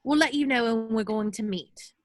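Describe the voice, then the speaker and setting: A woman's voice: on the high side, normal speed, no echo, clean studio sound, close to an everyday voice. A woman playing an earnest, bossy, bookish teenage schoolgirl in an amateur table read. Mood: brisk, organizing.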